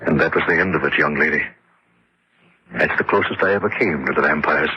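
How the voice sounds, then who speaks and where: A sinister widower with a very husky, low voice, spins a horror tale with sly, deliberate menace. It sounds like an old radio play, muffled and lo-fi. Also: male voice, exaggerated voice. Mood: sly, menacing. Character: sinister male storyteller, widower turned secret vampire, quietly menacing. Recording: dull, lo-fi old radio recording.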